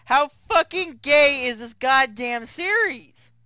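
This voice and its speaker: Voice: high-pitched, lively intonation, slow, sharp tone, no room sound, studio quality. A co-streamer chatting casually over a game, blunt, crude and teasing, sometimes reading a line of dialogue. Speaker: co-streamer commenting and reading lines.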